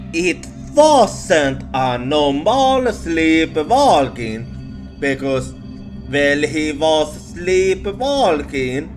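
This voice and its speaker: Slow-talking man telling an ASMR ghost story. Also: a little high. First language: not English. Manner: halting, repeats words. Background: music.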